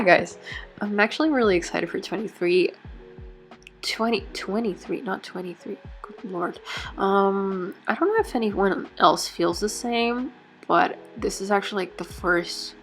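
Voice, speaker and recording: A woman's voice: medium pitch, animated, unhurried, faint music behind. A woman who is not a native English speaker, fighting off a cold, chatting casually through a journal setup with lots of fillers and self-corrections. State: has a cold. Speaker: bullet journal vlogger. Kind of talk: casual chat.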